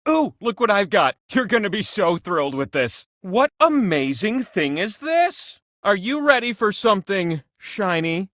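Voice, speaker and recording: A man's voice: high voice, fairly bright tone, no echo, clean studio sound. A man voicing a whimsical, grandiose godlike trivia wheel who hosts a video game with gushing, mock-grand playfulness. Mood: grandiose, teasing. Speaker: game show host. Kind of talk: theatrical scripted game host lines.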